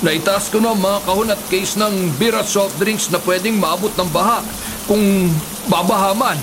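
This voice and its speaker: An actor playing a cautious, measured shopkeeper husband in a Filipino radio drama. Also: male voice, sits high, steady tone, background noise, natural voice. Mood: steady, concerned. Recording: music and rain effects behind.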